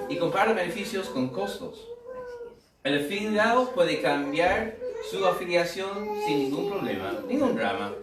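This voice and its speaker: A man's voice: high voice, far from the mic. American man preaching in a loose, humorous way; echoey room, off-mic. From United States. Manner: humorous, conversational, with asides and rhetorical questions. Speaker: pastor. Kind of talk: sermon.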